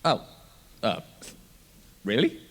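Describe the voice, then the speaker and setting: A man's voice: takes it slowly, natural voice. A man voicing a flustered cartoon adventurer who stammers, repeats himself and questions everything. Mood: puzzled. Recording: loud music behind.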